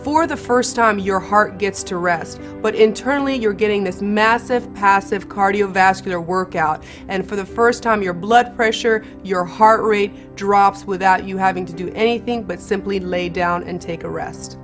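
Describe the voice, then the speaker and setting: A woman's voice: medium pitch, normal speed, hardly any pauses. Reassuring woman explaining a treatment. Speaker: clinic staff explaining a treatment. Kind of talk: explanatory interview. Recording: music playing behind the voice.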